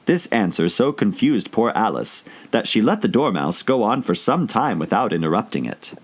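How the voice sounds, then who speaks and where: A man's voice: lowish voice, very animated, normal speed, slightly rough. A man reading a storybook aloud as an audiobook narrator, in scripted narration.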